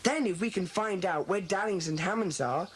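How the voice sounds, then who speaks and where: A woman playing a bossy, earnest schoolboy who leads a children's club, brisk and commanding in an audiobook dramatisation. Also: medium pitch, close to an everyday voice. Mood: earnest, decisive. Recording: hissy old cassette dramatisation.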